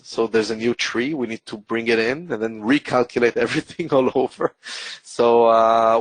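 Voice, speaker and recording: A man with an Israeli accent answers questions in an online webinar, stopping often on fillers and restarting his sentences. His voice sits at an ordinary middle pitch and sounds close and dry, with no room around it. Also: dry recording, poor audio. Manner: hesitant, many fillers and restarts. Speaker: guest presenter. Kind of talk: webinar Q&A explanation.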